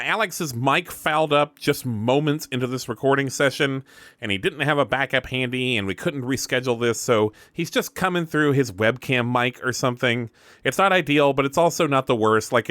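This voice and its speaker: A man's voice: mid-range voice, a bit bright, no echo. A man hosting a podcast in a casual, chatty style. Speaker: podcast host.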